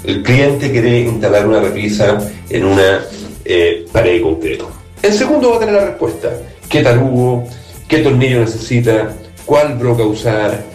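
A Chilean startup CEO giving a scripted, persuasive pitch and speaking straight to the listener. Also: male voice, animated, normal speed, a little mellow, reverberant, far from the mic, faint music behind. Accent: Chilean Spanish.

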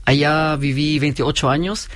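A man in his forties from Chile, raised in Germany, with a German-tinged Chilean Spanish accent, explaining his company as a guest on a radio show, measured and with frequent fillers. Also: on the high side, steady tone, a bit bright, dry recording. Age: 40s. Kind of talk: radio interview. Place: radio studio.

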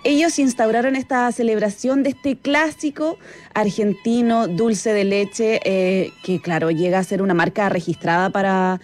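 A woman's voice: medium pitch, steady tone, measured pace, darkish tone. A woman with a Chilean accent presenting a chatty live radio show, speaking directly to listeners and her colleague in a conversational way. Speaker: radio host. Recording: music bed behind studio radio voice. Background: background music.

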